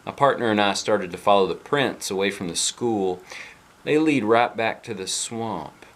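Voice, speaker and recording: A blunt, serious policeman with a Southern US accent, as a man narrator reads him in a storybook. He sounds deep and low, reporting damage plainly. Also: animated, moderate pace, fairly bright tone.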